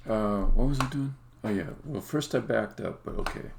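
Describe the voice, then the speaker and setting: A man who develops games, talking casually and haltingly to himself as he live-codes on a stream. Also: lowish voice, creaky. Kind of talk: casual live-coding commentary, thinking aloud. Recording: faint background noise with keyboard clicks. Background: computer keyboard typing and clicking.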